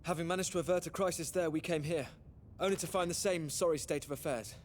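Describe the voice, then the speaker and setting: A man's voice: fairly high pitch, fast, slight background noise, lightly acted. A man playing a bookish young hero who speaks precisely and at length. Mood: measured. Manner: precise, wordy phrasing. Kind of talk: game cutscene acting.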